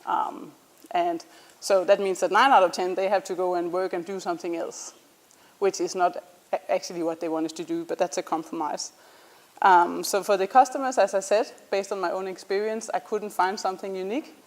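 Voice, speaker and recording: A young woman with a Danish accent giving a casual startup pitch to a class, with frequent ums and restarts. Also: fairly even intonation, a little fast. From Denmark. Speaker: startup founder presenting. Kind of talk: student pitch presentation.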